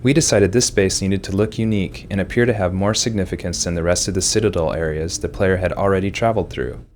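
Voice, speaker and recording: A man with a very deep voice delivers scripted commentary as a game developer. Some noise sits behind his voice. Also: quick pace, darkish tone.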